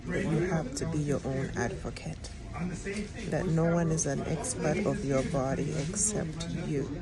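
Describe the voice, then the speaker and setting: A middle-aged woman, a public health educator, talking in an earnest, reflective way with frequent pauses and ums. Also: deep voice, low-quality recording. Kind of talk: personal vlog talk. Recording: music playing underneath.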